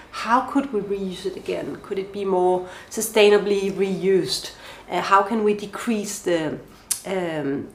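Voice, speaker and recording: A woman's voice: medium pitch, a little hiss or hum. Woman, Danish accent, thoughtful, lists questions, interview guest, echoey room. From Denmark. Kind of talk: podcast interview answers. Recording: roomy echo.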